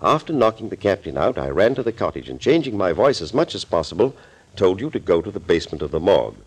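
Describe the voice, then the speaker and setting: Male mad doctor mastermind for 1940s radio thriller: low voice, sweeping intonation, urbane and amused. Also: sing-song, fast, slightly rough, full character voice. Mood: gleeful, boasting. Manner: urbane, amused, theatrical, mockingly courteous. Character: suave, gloating mad doctor, a master villain and impersonator. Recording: old scratchy 1940s radio recording.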